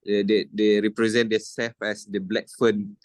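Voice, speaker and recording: A man's voice: on the low side, little pitch movement, normal speed, no room sound, studio quality. A man moderating a Q&A over a video call, putting questions to the speaker politely, with many fillers. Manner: formal, hesitant, many fillers. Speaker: session moderator.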